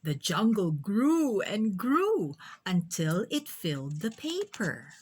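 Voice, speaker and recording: A woman reading a children's picture book aloud in an expressive, deliberate storytelling manner. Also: mid-range voice, husky. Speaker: storybook reader.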